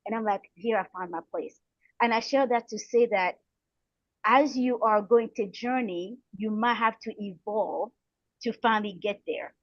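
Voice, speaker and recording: A woman's voice: on the high side, normal speed, dry recording, dull audio. A middle-aged woman from the Republic of the Congo giving motivational advice as an interview guest, encouraging and earnest, repeating phrases for emphasis. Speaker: guest speaker, coach and counselor.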